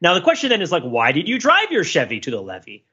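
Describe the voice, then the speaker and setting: A man's voice: fairly high pitch, wildly swooping pitch, fast, bright, forceful tone, slightly rough, dull audio. A man hosting a casual podcast discussion, thinking aloud in a rambling, playfully analytical way. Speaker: podcast host.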